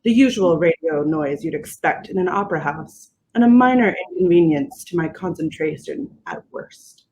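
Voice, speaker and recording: A woman's voice: on the low side, lively intonation, normal speed, darkish tone, low-quality recording. A woman reading a first-person story aloud as scripted narration. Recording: faint background noise.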